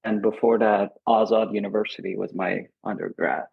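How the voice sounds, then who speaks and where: A man's voice: fairly low pitch, flat delivery, normal speed, creaky, husky, narrow, tinny audio. A man in his thirties from Iran, with an Iranian accent, speaking in a podcast interview in an earnest, thoughtful way, often repeating words for emphasis and laughing easily. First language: Azerbaijani Turkish, Kurdish and Farsi. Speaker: lighting designer, guest.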